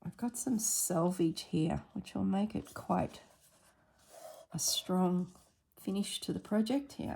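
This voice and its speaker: A woman with a slightly husky voice narrating a casual craft tutorial at her table, thinking aloud as she works. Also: lowish voice, a little mellow, low-quality recording. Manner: unhurried, thinks aloud while working. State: recovering from illness, slightly husky throat. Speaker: craft vlogger.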